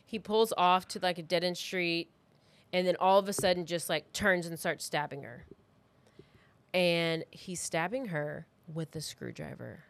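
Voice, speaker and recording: A woman's voice: on the low side, measured pace. A woman in her thirties hosting a podcast, telling a true crime story in a casual, loosely worded conversational way. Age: 30s. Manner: informal, loosely worded, acts out reported remarks.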